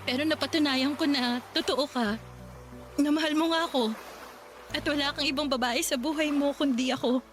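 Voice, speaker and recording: A woman acts out a devoted young single mother about to marry, in Filipino radio drama style. Her voice is high, tender and full of feeling. Music plays clearly behind her. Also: steady tone, moderate pace, lightly acted. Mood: tearful, hesitant.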